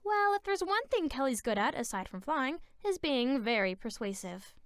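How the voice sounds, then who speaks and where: A woman's voice: high-pitched, sing-song, moderate pace, a bit bright, dry recording, clean studio sound. A woman voicing a conscientious, chatty superhero girl who explains things earnestly in a cartoon. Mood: earnest explaining. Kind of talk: cartoon voice acting.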